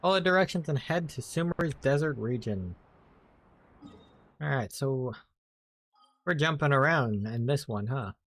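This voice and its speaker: A man giving casual, low-key gameplay commentary in brief reactions, close to the mic. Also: animated, measured pace, long pauses, a bit bright. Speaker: gaming streamer.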